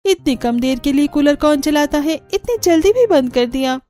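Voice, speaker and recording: A woman's voice: sits high, animated, moderate pace, dark tone, voice pushed up. A woman voicing a young newlywed daughter-in-law, polite but given to complaint, in a cartoon story. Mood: exasperated. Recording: music under the voice.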